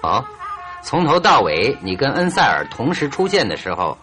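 An actor playing a shrewd, confident detective in a radio drama, reasoning aloud in a theatrical, emphatic and deliberate way. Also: male voice, medium pitch, huge pitch swings, bright, forceful tone, faint music behind. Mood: confident, accusing. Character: shrewd, confident male detective, stern yet teasing. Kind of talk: Chinese radio drama acting, expository monologue.

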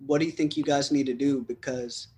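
A man's voice: medium pitch, steady tone, a little fast, dark tone. A man from the United States interviewing a footballer, asking long, rambling questions in a chatty way.

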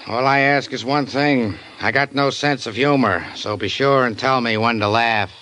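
A man voicing a skeptical, deadpan police sergeant from a vintage radio drama. His voice is bright and forceful, and every remark drips with dry sarcasm. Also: mid-range voice, normal speed, slight background noise, lightly acted, rough recording. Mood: sarcastic. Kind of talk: 1940s radio drama acting.

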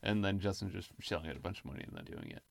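A man co-hosting a casual podcast, with a deep, smooth and monotone voice. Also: very fast, no echo. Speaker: podcast co-host.

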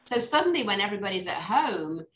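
Lively, bright-voiced woman podcast host. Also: medium pitch, fast, a little hiss or hum. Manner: chatty, animated, laughs often, restarts. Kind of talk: casual interview chat. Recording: roomy echo.

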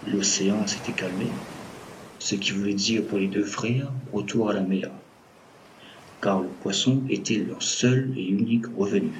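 A man's voice: lowish voice, fairly even intonation, measured pace, a little hiss or hum, rough recording. A man narrating a scripted audio fiction as a storyteller.

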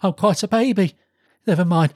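A man's voice: high-pitched, normal speed, a little mellow, husky, dry recording, studio quality, pitched above the performer's own voice. A man voicing an elderly reformed miser who is giddy with joy, exclaiming breathlessly in a storybook reading. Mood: giddy, carefree. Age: older. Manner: exuberant, breathless exclamations and whoops.

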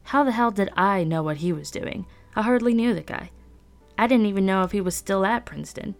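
A woman's voice: mid-range voice, fairly quick, soft background music. A woman hosting a podcast, reading a novel aloud in first person with a conversational, wry storytelling manner. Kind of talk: first-person audiobook narration.

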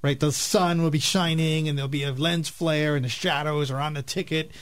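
A male radio co-host chatting casually about films, disagreeing in a measured, wry and joking way. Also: on the high side, fairly even intonation, a little hiss or hum. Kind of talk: casual chat and film commentary.

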